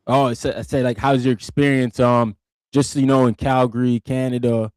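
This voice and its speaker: A man's voice: medium pitch, level tone, moderate pace, a little mellow, dry recording. A man hosting a podcast, asking casual questions and filling in with short, easygoing affirmations. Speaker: podcast host. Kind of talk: casual interview chat.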